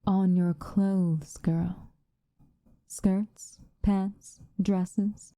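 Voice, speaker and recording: Woman playing a hushed, dark-voiced, stern but caring witch. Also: medium pitch, little pitch movement, no echo. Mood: gently reassuring. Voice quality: soft, hushed, often breathy whisper. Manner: measured, formal, gently commanding. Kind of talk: ASMR roleplay.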